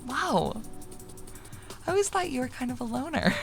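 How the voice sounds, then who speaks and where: A woman voicing an excitable, curious younger woman in a visual novel, exclamatory and teasing, with a breathy edge. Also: high voice, normal speed, over music, voice pushed up, heavily acted.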